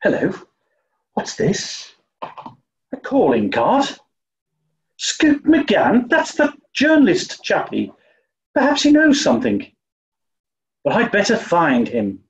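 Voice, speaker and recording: An actor playing a dim-witted, snobbish English gentleman with an upper-class accent, flustered and hesitant, in a 1940s radio play style. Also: high-pitched, wildly swooping pitch, slow, long pauses, muffled recording, exaggerated voice. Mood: puzzled, concerned.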